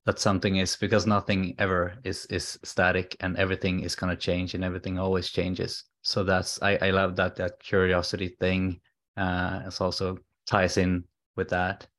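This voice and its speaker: A man with a Swedish accent speaks as a guest in a conversational interview. His low voice stays very even as he earnestly feels his way toward the right words. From Sweden. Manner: hesitant, searching for words, earnest. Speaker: podcast guest, alcohol-free coach.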